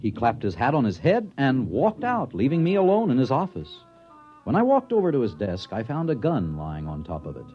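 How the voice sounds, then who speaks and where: An actor playing an anxious, ambitious bureaucrat and narrator in a melodramatic 1950s radio drama style. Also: male voice, mid-range voice, fairly quick, faint music behind. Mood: measured narrating. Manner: melodramatic, excitable, clipped narration. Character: anxious, ambitious male bureaucrat, self-righteous and vain. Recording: dull vintage 1950s radio recording.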